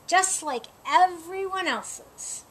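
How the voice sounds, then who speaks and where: A woman's voice: very high-pitched, lively intonation, takes it slowly, voice pushed up, heavily acted. A woman voicing a worried, bossy small male animal in a storybook, insistent and cautioning. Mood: bossy, helpful.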